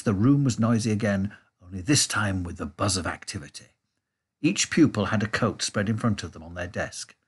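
A man reading a children's storybook aloud in a measured, expressive way. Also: lowish voice, breathy edge. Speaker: audiobook reader. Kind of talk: storybook narration.

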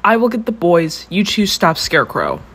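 A woman's voice: sits low, expressive, measured pace, a bit bright, husky, exaggerated voice. A woman playing a stern, commanding superheroine in an audio drama.

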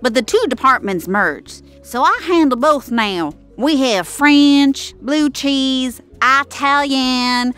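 A literal-minded, earnest waitress, played by a woman in a comedy audio sketch. Her high voice is polite and explanatory, with faint music underneath. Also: slow, hardly any pauses, fairly bright tone, clear, resonant tone.

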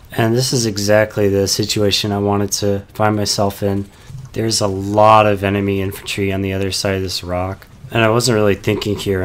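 A young man talks calmly over gameplay footage, walking through his tactics with plenty of "uh" fillers. His voice is low and fairly flat, and it sounds a little stuffy because he is getting over a cold. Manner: calm, explanatory, many "uh" fillers. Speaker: gameplay commentator. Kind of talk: voice-over commentary on game footage.

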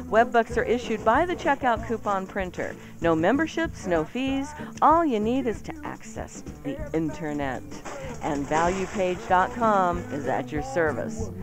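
A woman co-hosting a radio show, reading ads and bantering in a radio studio. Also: mid-range voice. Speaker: radio co-host and ad reader. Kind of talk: lively radio ad read and banter. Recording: radio broadcast with music behind. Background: music.

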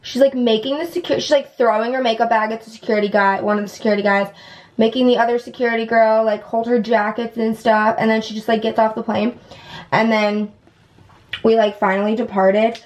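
A woman's voice: fairly high pitch, fairly even intonation, a little fast. A woman in her twenties chatting casually to camera like a vlogger, telling a story informally and peppering it with "like" and "whatever.". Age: 20s. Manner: chatty, heavy use of "like" and "whatever". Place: indoors at home, bathroom. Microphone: camera at about arm's length.

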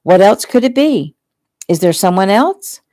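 A woman's voice: on the low side, normal speed, gravelly, dark tone, no echo, studio quality. A woman narrator voicing a demanding, clingy young fiancée in an audiobook, theatrical, pleading and indignant. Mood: shrieking, furious.

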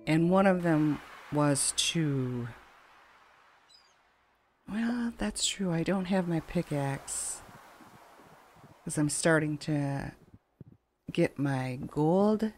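Low-voiced woman chatting over game music. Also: lively intonation, slow pace, pauses often, a little mellow. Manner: chatty, rambling, conversational. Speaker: gaming video host. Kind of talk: casual let's play commentary. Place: at home, window open a crack, fan running.

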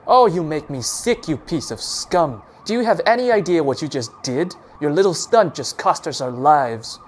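A man's voice: on the high side, fairly quick, darkish tone, slight background noise, voice pushed up, natural voice. A man voicing a hot-tempered police officer who bellows scornful threats and commands. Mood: angry.

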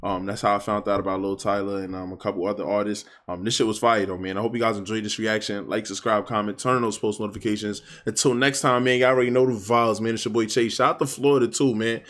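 A young man hosting a music reaction video. He chats casually and excitably in slang, speaking indoors to a webcam while wearing headphones. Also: fairly low pitch, fast, few pauses. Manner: excitable, slangy, loose and talkative. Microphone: webcam framing, wearing headphones.

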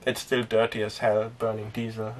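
A man's voice: on the low side, normal speed, far from the mic, very noisy. Rambling young man on a boat. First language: Danish. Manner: relaxed and rambling, with frequent fillers and small laughs. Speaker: sailing vlogger. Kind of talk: casual talk to camera. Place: inside a small sailboat cabin and cockpit tent.

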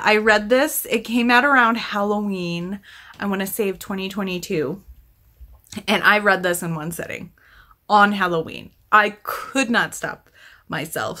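A woman's voice: medium pitch, bright, forceful tone. A woman in her thirties chatting casually and effusively as a book vlogger, her throat a little scratchy from talking. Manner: enthusiastic, effusive. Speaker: book reviewer, video host. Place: small indoor room at home with bookshelf.

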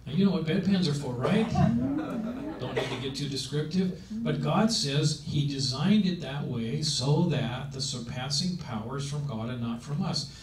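A man's voice: a little high, animated, moderate pace. Older man, 70s, emphatic preaching, handheld mic, roomy meeting room, a bit distant. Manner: emphatic, conversational preaching with rhetorical questions. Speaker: speaker on aging. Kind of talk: sermon-style talk. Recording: roomy sound from a distance with faint noise.

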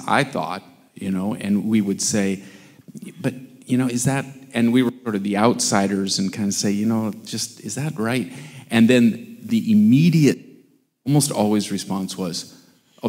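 Older canadian guy in the audience, hesitant, lots of ums, lowish voice, reverby hall. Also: gravelly, darkish tone. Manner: hesitant, searching, many fillers and restarts. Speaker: audience member. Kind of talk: comment from the floor. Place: seated in a large auditorium audience. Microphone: handheld mic.

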